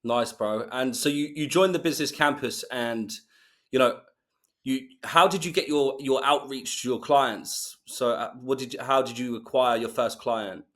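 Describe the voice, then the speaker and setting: Casual, matey male interviewer, mid-pitched. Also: normal speed, a bit bright. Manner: informal, matey. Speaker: interviewer, host.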